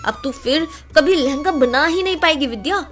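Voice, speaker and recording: A woman's voice: high voice, lively intonation, moderate pace, soft background music. A woman voicing a jealous, vengeful village rival in a cartoon moral story, with a spiteful, menacing manner. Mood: gloating.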